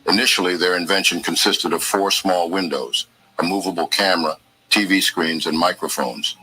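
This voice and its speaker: A man narrating a countdown of inventions in a steady documentary reading. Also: low-pitched, normal speed, a little hiss or hum, poor audio. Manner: steady list-style reading.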